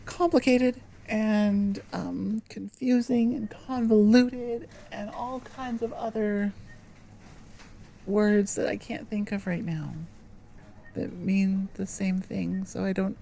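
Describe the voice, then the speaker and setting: Slow, hesitant goblin girl played by a woman. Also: medium pitch, steady tone, vocal fry, mellow, a little hiss or hum. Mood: flustered, shy. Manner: hesitant, rambling, earnest, halting. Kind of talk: ASMR roleplay audio drama.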